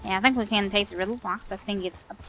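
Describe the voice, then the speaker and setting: A woman's voice: medium pitch, steady tone, fast, sharp tone. A woman whose first language is German, chatting casually while she plays a game. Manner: chatty, thinking aloud. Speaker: streamer. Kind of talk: casual gameplay commentary.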